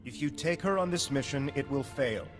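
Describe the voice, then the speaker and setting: A man's voice: mid-range voice, animated, moderate pace, lightly acted. A man voicing an intense, accusing Jedi rival in a video game, dramatic, ominous and insistent. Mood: grim warning. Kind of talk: video game cutscene voice acting. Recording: loud music behind.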